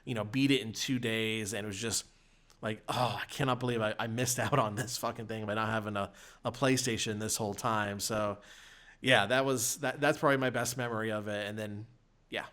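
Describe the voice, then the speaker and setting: A man's voice: fairly low pitch, fairly even intonation, a little fast, fairly bright tone. A man reminiscing casually on a podcast panel in a hesitant, meandering way.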